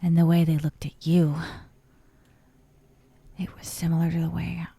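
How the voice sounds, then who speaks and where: A woman voicing a possessive, dominant yandere captor in an ASMR roleplay, breathy and often whispering, sweetly menacing and coy, close to the microphone. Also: deep voice, little pitch movement, dark tone, dry recording. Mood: jealous. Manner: slow, intimate, teasing, coy.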